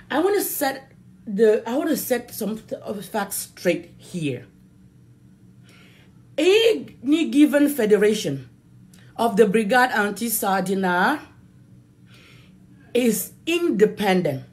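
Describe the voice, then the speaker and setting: A woman from Cameroon, a native French speaker with a Cameroonian accent, giving an impassioned political address in an emphatic, deliberate way, repeating phrases for stress. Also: fairly high pitch, darkish tone. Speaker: political activist addressing viewers.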